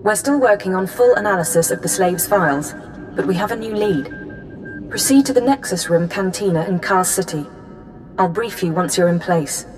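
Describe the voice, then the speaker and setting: An actress voicing a strict intelligence handler in a video game, clipped and businesslike. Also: female voice, mid-range voice, expressive, moderate pace, a little mellow, soft background music, close to an everyday voice. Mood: brisk, cool. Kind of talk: video game dialogue acting.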